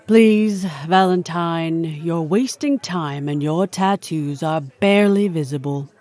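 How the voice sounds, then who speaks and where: An actress playing an imperious supernatural boss in an audio drama, formal and commanding with cool menace. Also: female voice, lowish voice, takes it slowly, a little mellow. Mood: coolly threatening. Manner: formal, commanding, coolly threatening.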